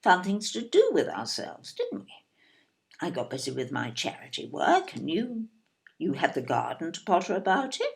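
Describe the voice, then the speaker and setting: An older, upper-middle-class English wife in a radio play. Her voice is low and creaky, swooping widely as she hands down haughty, plummy judgements. She sounds opinionated and disapproving. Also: huge pitch swings, normal speed, full character voice. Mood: brisk, defensive. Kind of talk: audio play acting.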